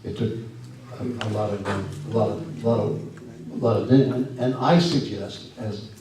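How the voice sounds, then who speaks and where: A man with a scratchy voice giving a folksy, earnest talk at a church and answering questions, halting often with fillers and restarts. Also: fairly low pitch, fairly even intonation. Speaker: guest speaker. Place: church sanctuary. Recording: echoey hall from far off.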